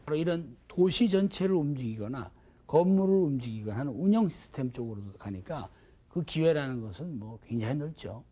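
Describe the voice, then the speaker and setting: Male expert for interview, soft-edged warm timbre, animated melody, explanatory delivery. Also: a little high, fairly quick.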